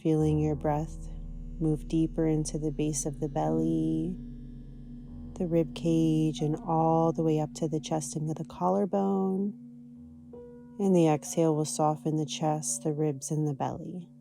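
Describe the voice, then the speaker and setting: A woman with a low-pitched voice leads a guided yoga and meditation session. Her tone is soft and dark, and her delivery is gentle and unhurried. Faint guitar music plays behind her. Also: steady tone, vocal fry. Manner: gentle, soothing, unhurried guidance. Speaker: yoga teacher.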